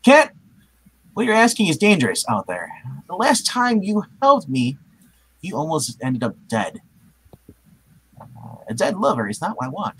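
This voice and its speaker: A man playing an earnest, awkward masked superhero in love, read from a comic book with a halting, hammy delivery. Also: on the high side, wildly swooping pitch, a bit bright, slight background noise, heavily acted, low-quality recording. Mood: worried, protective. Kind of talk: comic book live reading.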